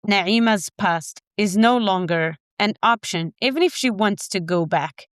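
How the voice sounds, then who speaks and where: A woman from Morocco co-hosting a podcast, calmly discussing a short story. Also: mid-range voice, measured pace, no echo, studio quality. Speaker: podcast co-host. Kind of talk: literary discussion.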